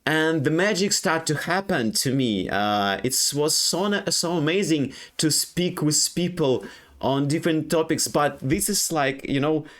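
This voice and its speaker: Slow, halting Ukrainian-accented man. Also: a little high, expressive, a bit bright. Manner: halting, many fillers and restarts, non-native grammar. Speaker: streamer. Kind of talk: personal reflective monologue.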